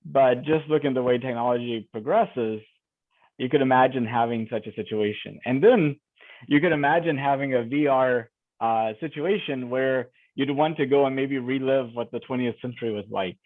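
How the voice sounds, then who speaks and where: A man's voice: medium pitch, no echo. Man on a tinny phone-like line. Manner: musing, rambling with many fillers. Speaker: interviewer. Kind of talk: casual conversational interview.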